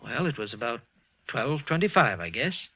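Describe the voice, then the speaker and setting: A man's voice: medium pitch, big pitch swings, moderate pace, a little hiss or hum. A man acting a nervous, resentful clerk who secretly killed, with a hesitant, evasive manner, in an old-time radio suspense play. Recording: muffled vintage radio recording, background music.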